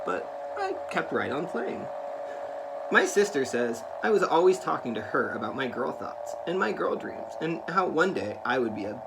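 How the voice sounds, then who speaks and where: A man speaking to children in an earnest, careful, deliberate way, as in a read-aloud. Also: a little high, creaky, noisy background. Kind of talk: read-aloud of a children's picture book with spoken introduction.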